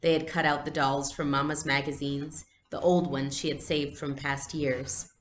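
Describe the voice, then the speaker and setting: A woman reading a storybook aloud as a teacher, in an expressive style with explanations. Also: sits low, normal speed, echoey room, slight background noise.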